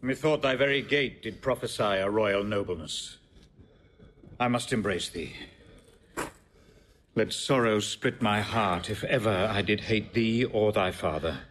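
An actor playing a stern, upright, commanding noble lord, emphatic and theatrical, in a classical Shakespearean audio drama. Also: male voice, fairly low pitch, a little slow, long pauses, fairly bright tone, slight background noise. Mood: moved.

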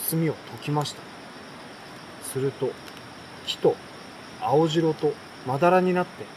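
A man reading a storybook aloud in a relaxed, unhurried way, pausing between phrases. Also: fairly high pitch. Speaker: reader. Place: campsite outdoors by a fire and stream. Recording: outdoor night crickets and campfire noise. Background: insects, crackling fire, stream.

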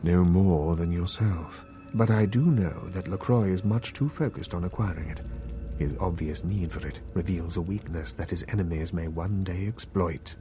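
An actor playing an old, courteous vampire in a video game, speaking formally with grave, ominous deliberation. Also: male voice, deep voice, background noise, lightly acted. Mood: grave, foreboding. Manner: slow, formal, ominous delivery. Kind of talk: scripted video game acting. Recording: music behind.

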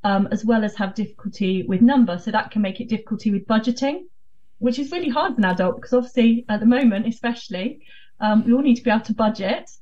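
A woman's voice: on the high side, quick pace, a little mellow. A woman explaining things conversationally as an interview guest. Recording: distant, slightly dull remote sound.

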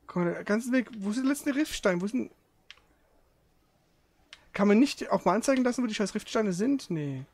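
A man's voice: sits high, expressive, normal speed, long pauses, a little mellow. A man commenting casually on a video game as he plays, grumbling and swearing in frustration.

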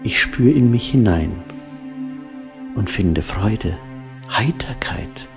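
Slow, deep-voiced man narrating solemnly. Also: lively intonation. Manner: hushed, breathy, drawn-out phrasing, trailing into whispers. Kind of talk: solemn poetic narration, audio drama. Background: new-age instrumental music.

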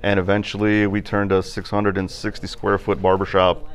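Man, 30s, shop owner. Lowish voice, relaxed and rambling, interviewed in his barbershop. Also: normal speed. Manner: relaxed, chatty, with many fillers. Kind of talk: casual interview answers.

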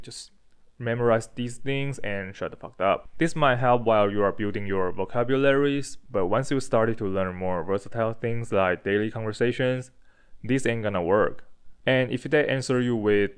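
Low, smooth-voiced young vlogger from Taiwan. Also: male voice, normal speed. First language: Chinese and Taiwanese Hokkien. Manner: candid, blunt, with casual swearing. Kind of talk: casual personal vlog. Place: dim room with a desk monitor, indoors. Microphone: handheld furry-windscreen mic near the mouth.

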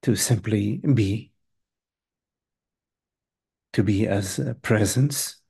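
A man leading a guided meditation as a teacher, speaking gently and hesitantly in short phrases with long pauses between them. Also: fairly low pitch, creaky, husky. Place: live online group session.

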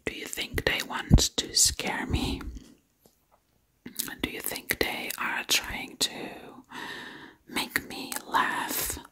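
A woman doing ASMR storytelling in a hushed, breathy whisper, lingering on words and repeating them. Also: drawn-out words, pauses often. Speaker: ASMR creator.

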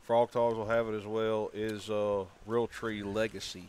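A man's voice: lowish voice, level tone, a little slow, darkish tone, slight background noise. A man hosting a podcast, telling stories and mimicking others in casual banter. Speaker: podcast host. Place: large sporting goods store, indoors.

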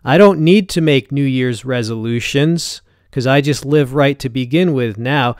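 Blunt forty-something man, smooth dry voice. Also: mid-range voice, measured pace, no echo. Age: 40s. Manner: blunt, conversational, frequent "right?" check-ins. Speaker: fitness YouTuber. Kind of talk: casual talk to camera. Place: home gym, indoors.

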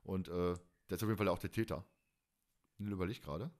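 A man in his forties from Germany chatting casually as a podcast host, in an animated, rambling, colloquial way. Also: low-pitched, fast. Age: 40s. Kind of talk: casual chat.

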